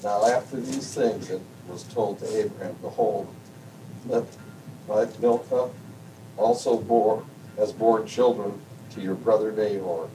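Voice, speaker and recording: A man reads scripture aloud in a low, dark voice, pausing and tripping over unfamiliar names. He is heard from across the room, away from the microphone. A faint rumble sits underneath his voice. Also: fairly even intonation. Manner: halting, stumbling over hard names. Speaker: study group member reading aloud. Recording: distant mic with low rumble.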